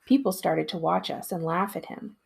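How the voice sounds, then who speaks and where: A woman, a school librarian, giving an expressive read-aloud of a storybook in a narrator's style. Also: lowish voice, moderate pace, vocal fry, slightly rough.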